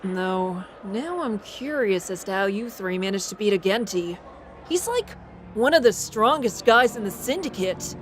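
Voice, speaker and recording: A woman's voice: mid-range voice, expressive, measured pace, slight background noise, close to an everyday voice. A woman voicing an impish demon boy in an audio drama, teasing and sly, with a theatrical drawl. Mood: conceding, curious.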